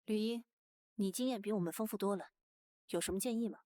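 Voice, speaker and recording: A woman acting as a young woman from a visual novel. She talks fast in a mellow, medium-pitched voice. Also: close to an everyday voice. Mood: inquiring.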